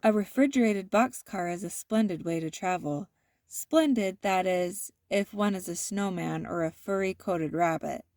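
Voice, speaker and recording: Woman narrator, children's read-aloud, expressive, deliberate, medium pitch, close dry recording. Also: dry recording. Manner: deliberate, animated storytelling. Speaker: storybook narrator.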